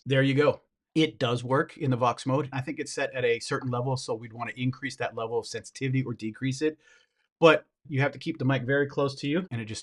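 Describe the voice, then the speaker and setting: Casual man reviewing gear. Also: medium pitch. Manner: informal, talks to viewers while handling gear. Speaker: product reviewer. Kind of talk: casual product review and demonstration.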